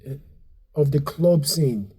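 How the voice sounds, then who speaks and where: A man's voice: a little high, expressive, takes it slowly, mellow, slightly rough. A man in his thirties with a Nigerian accent, a comedian and club owner chatting loosely with many fillers and repeated words. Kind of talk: casual interview chat.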